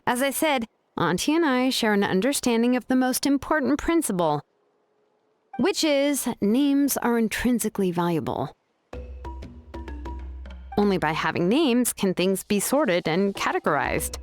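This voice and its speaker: A woman voicing a laid-back, matter-of-fact young smith in a video game, speaking in a measured, casually confident, explanatory way. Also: on the high side, very animated, no room sound, faint music behind. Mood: explaining calmly.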